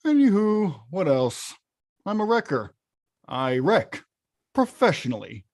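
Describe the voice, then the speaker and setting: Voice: mid-range voice, big pitch swings, slow pace, darkish tone. An actor playing a huge, hot-tempered, weary arcade-game villain who confides wryly and grumbles conversationally in a table read. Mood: wry, confessional. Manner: conversational, grumbling, bursts into bellows. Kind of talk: table-read acting, confessional voice-over.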